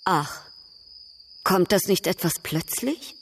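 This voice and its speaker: An actress playing a worldly, proud baroness in a German radio drama, speaking with polished irony. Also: female voice, fairly low pitch, animated, takes it slowly, music in the background. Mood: ironic.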